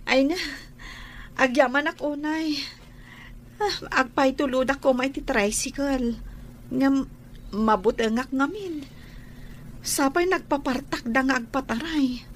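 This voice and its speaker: A woman's voice: high-pitched, takes it slowly, long pauses. An actress playing a timid, plaintive, polite woman in a radio comedy drama. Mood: shy, polite. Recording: clear background noise and ambience.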